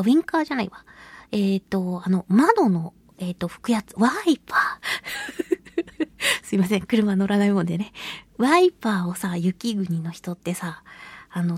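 A woman hosting a radio talk show on her own, chatting casually and telling stories in an animated, giggly, colloquial way. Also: mid-range voice, husky, dry recording. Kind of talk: casual solo radio chat.

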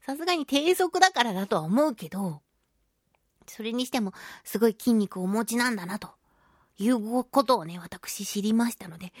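A woman with a fairly high voice hosts a chatty solo radio show. She tells everyday stories in an animated, exclamatory, giggly manner. The sound is close and very dry, as from a studio microphone. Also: no room sound. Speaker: radio talk show host; voice actress. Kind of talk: chatty solo radio monologue about daily life.

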